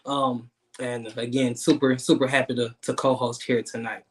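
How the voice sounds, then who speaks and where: Halting thirty-year-old man hosting. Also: medium pitch, little pitch movement. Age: 30s. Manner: halting, repeats words, many fillers. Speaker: guest host. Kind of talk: casual online talk show hosting.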